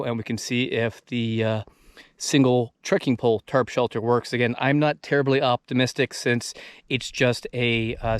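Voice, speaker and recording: A man's voice: medium pitch, smooth, dry recording, studio quality. A man in his thirties talking casually to viewers as the host of an outdoor video channel, out in the open. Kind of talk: casual freestyle vlog talk to viewers. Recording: noisy outdoor field recording.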